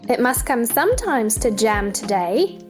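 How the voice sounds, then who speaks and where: A woman's voice: a little high, very animated, a little slow, music in the background. A woman playing a polite, puzzled, sensible young girl in a theatrical children's storybook drama, earnest and questioning.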